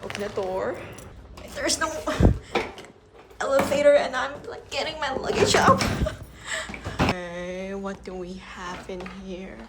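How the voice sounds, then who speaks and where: A young woman vlogging casually as she tours a budget hotel, chatty and excitable, full of "like"s and giggles, filmed on a handheld phone. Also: medium pitch, takes it slowly, long pauses. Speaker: travel vlogger. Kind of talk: casual travel vlog. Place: budget hotel room and entrance. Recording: echoey room with loud background noise.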